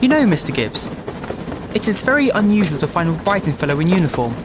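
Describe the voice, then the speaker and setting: An actor playing a proud, excitable army lieutenant in a First World War audio drama, formal and clipped. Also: male voice, high-pitched, fast, background noise. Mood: challenging, grave. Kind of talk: period audio drama acting. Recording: very loud battlefield and vehicle ambience.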